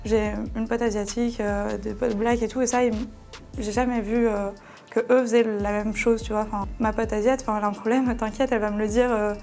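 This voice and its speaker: Young woman early 20s, kinda high voice, slangy and frank, casual group debate, faint music behind. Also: flat delivery, a little fast, darkish tone. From Belgium, small town. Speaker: student panelist in a discussion.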